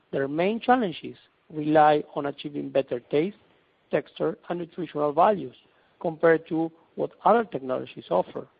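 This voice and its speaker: A man's voice: on the high side, creaky, slightly rough. A man presenting as a company executive, reading a scripted investor presentation over a conference call phone line. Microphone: telephone conference call.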